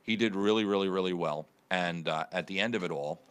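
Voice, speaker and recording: A man's voice: low voice, fairly quick, clear, resonant tone. A man hosting a casual internet radio morning show, telling a long rambling story with many restarts.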